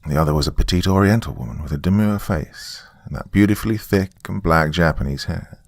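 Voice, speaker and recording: A man with a very deep voice narrates a dramatic first-person tale. His delivery is deliberate and folksy, and the recording is dry and close. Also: gravelly, no room sound.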